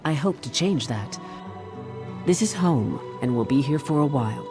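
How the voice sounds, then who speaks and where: An actress playing a confident female ship captain in a fantasy game, brisk, plain-spoken and wry. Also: low-pitched, lively intonation. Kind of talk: fantasy video game acting. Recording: loud music behind the voice.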